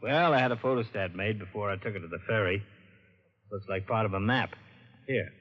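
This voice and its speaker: An actor playing a gruff, plain-spoken police detective in an old-time radio crime drama. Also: male voice, on the low side, fairly quick. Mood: businesslike. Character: gruff, practical male police detective, the hero's colleague. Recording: muffled, reverberant old radio recording.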